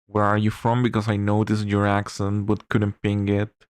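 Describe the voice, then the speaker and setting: A man's voice: lowish voice, completely flat delivery, moderate pace, clear, resonant tone, dry recording, clean studio sound. A man from Chile with a Chilean Spanish accent, a native Spanish speaker chatting casually on a livestream, musing aloud while he works and answers chat. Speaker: streamer.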